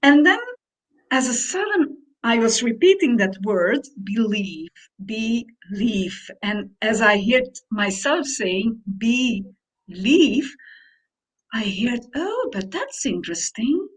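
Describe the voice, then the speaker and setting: A woman whose first language is Dutch, co-hosting a reflective conversation and searching slowly for her words. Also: fairly high pitch, big pitch swings, slightly rough, rough recording. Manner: slow, searching, thoughtful phrasing. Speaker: podcast co-host.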